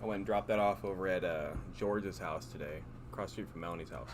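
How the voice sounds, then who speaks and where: A man with a booming, loud voice chatting casually and in a laid-back, slangy way as co-host of a livestream. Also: quick pace. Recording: clearly noisy livestream audio.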